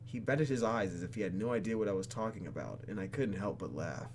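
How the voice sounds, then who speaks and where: Animated, low-voiced man doing a let's play. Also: quick pace, slight background noise. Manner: theatrical, reactive, jokey. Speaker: gaming video host. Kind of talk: let's play, reading game text aloud with asides.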